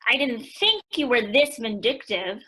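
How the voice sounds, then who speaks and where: Radio play teen sister: fiery, accusing, high-pitched, very animated. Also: female voice, unhurried, exaggerated voice, low-quality recording. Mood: indignant. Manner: dramatic, accusing. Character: Joe, hot-tempered resentful teenage sister and young writer.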